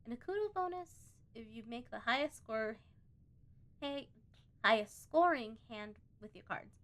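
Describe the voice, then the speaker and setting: A woman doing a casual let's play, reading on-screen game text aloud and breaking off to think out loud. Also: on the high side, animated, unhurried. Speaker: gaming video host.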